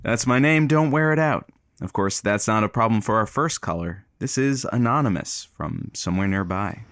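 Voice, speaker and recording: A man's voice: fairly low pitch, animated, moderate pace, fairly bright tone. A man playing a cocky, teasing small-town radio producer in an audio comedy, with a flippant, wisecracking delivery. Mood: breezy. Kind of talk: audio comedy drama acting.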